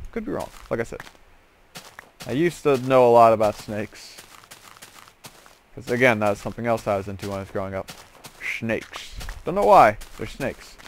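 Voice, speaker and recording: A man giving casual, rambling game commentary as host, drifting into joking asides. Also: mid-range voice. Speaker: host of a gaming series. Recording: clear background noise with clicks.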